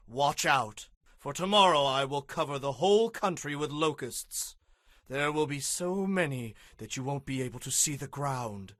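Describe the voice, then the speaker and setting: A man acts out a firm male prophet making demands in a Bible audio drama. His voice sits on the high side for a man, and the sound is dry and close. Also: fairly bright tone, no room sound.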